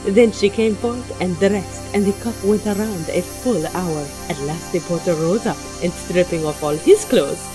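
A woman narrating a scripted story in expressive, archaic storytelling style, with music behind her. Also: on the low side, moderate pace, few pauses, low-quality recording.

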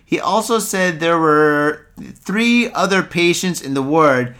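A man's voice: on the high side, animated, slow pace, a bit bright. A man co-hosting a casual podcast, reading news stories aloud and joking crudely with lots of laughter. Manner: laughs a lot, reads news aloud, jokey mock voices. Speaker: podcast co-host.

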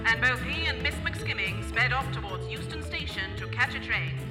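Woman narrator, fairly high voice, scripted radio drama, echoey hall, music. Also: animated, moderate pace.

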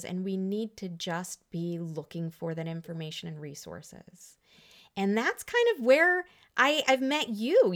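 A woman of middle pitch hosting a podcast. She speaks in an earnest, reflective way as she leads the conversation. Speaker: podcast host. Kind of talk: casual interview talk.

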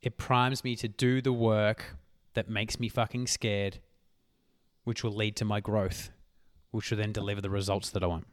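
A man hosting a solo motivational podcast, talking emphatically and swearing casually, his voice worn hoarse. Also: lowish voice, level tone, normal speed, dry recording, studio quality. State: losing his voice. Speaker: podcast host.

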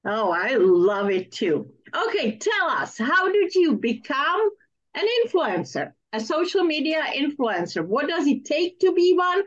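A woman's voice: high-pitched, very animated, a little slow. A woman hosting an interview, presenting and asking questions in a prepared style. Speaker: interviewer, host. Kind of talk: interview questions, partly scripted introduction. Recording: dull, band-limited audio.

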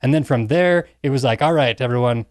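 A man speaks as a podcast co-host in casual film chat. His middle-pitched voice sounds close and dry, and he tells things in a halting, conversational manner. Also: no echo.

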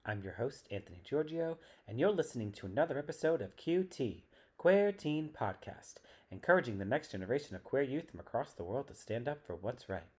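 A man's voice: medium pitch, sing-song, a little fast, few pauses, a little mellow, breathy edge. A man, the podcast host, reading the opening introduction of the show.

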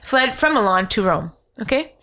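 A woman's voice: on the high side, very animated, moderate pace, bright, forceful tone. A woman, co-hosting a podcast and telling a story in a rambling, joking way with casual swearing. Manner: rambling, jokey, swears and laughs. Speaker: podcast co-host.

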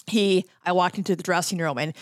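A woman's voice: on the low side, fairly even intonation, fairly quick, no echo, clean studio sound. A middle-aged American woman chatting casually as a podcast guest, telling stories breezily and acting out quotes. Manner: breezy storyteller who acts out quotes. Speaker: podcast guest, former radio promotions worker. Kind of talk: casual anecdotal chat.

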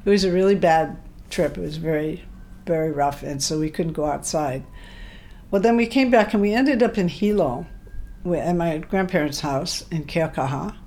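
A woman in her eighties from Hawaii with an American accent, recalling her life as an interview guest in an unhurried, hesitant way. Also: deep voice, warm tone. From Hawaii, United States. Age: 80s. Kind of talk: unscripted reminiscence. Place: hotel room. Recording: clear background noise, room ambience.

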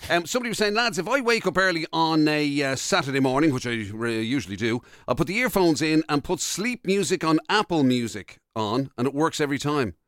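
A man's voice: fairly high pitch, expressive, fairly quick, hardly any pauses, a bit bright, dry recording, studio quality. An Irish man co-hosting a radio show, chatting casually and colloquially and reading out listener messages. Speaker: radio breakfast show co-host. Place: radio studio, dry close sound.

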